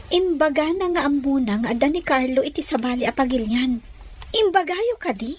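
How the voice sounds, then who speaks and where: A woman's voice: sits high, animated, brisk. A woman playing a devoted young daughter in love in an audio drama. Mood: worried, questioning. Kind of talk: Ilocano audio drama dialogue.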